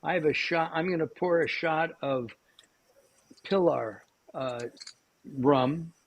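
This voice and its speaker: A man's voice: fairly high pitch, slow pace. A man hosting a cooking show, chatting casually with lots of fillers and restarts. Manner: rambling, many fillers and restarts.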